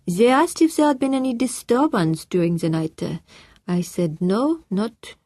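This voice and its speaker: A woman voicing a dutiful older French housekeeper who speaks formal, halting English with a French accent. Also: medium pitch, animated, a little mellow, no echo, clean studio sound, voice pushed up, natural voice.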